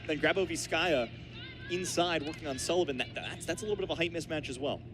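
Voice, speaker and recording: A man's voice: fairly high pitch, fast, music in the background. A man giving explanatory, analytical color commentary during a live basketball broadcast.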